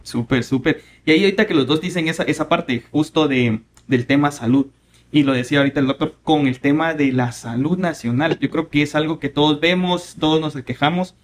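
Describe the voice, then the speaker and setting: A man's voice: fairly high pitch, a little fast, a little hiss or hum. A man hosting a podcast, upbeat, joking and chatty. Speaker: podcast host. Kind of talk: casual interview.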